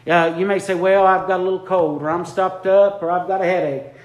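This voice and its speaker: Fervent preacher, high-pitched man, drawn-out lines, roomy sanctuary. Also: fairly even intonation. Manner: fervent, repeats lines for emphasis, drawn-out phrases. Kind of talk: impromptu sermon. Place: church sanctuary. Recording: echoey church room.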